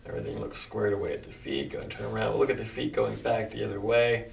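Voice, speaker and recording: A man's voice: fairly low pitch, fairly even intonation, fast talker, creaky, a little hiss or hum. A middle-aged man working as a chiropractor, giving short, reassuring instructions to a patient during an exam. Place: small carpeted chiropractic office.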